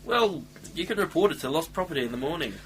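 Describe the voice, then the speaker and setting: A woman playing a weary, gruff security guard in an amateur radio play, grudging and officious. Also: deep voice, animated, fast talker, low-quality recording. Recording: amateur recording with faint hum.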